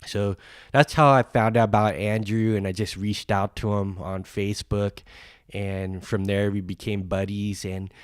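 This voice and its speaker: A man with a very low, flat voice, nasal and stuffed up from a cold. He rambles through a podcast introduction with plenty of ums and restarts, recorded in a dry, close space. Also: a little slow, clean tone, no room sound. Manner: rambling, many fillers and restarts. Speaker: podcast host.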